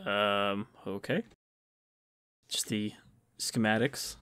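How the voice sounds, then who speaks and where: A man's voice: fairly low pitch, slow, slight background noise. A man giving casual, dryly sarcastic commentary while playing a video game. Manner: dry, offhand sarcasm. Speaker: gameplay commentator. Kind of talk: casual let's-play commentary. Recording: voice over loud game music.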